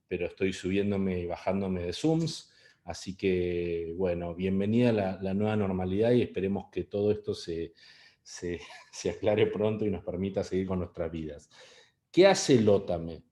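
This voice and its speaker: A man's voice: sits low, moderate pace, warm tone, echoey room. A middle-aged man with an Argentine Spanish accent giving an online webinar talk, hesitant, with many fillers and restarts. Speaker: webinar speaker. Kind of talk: online presentation.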